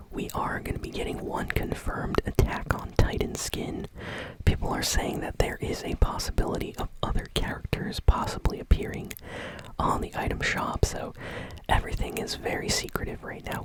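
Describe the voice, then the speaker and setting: A woman speaks entirely in a breathy, unvoiced whisper. She delivers informal news commentary as an ASMR host, keeping it soft and unhurried throughout. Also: very high voice, rough recording.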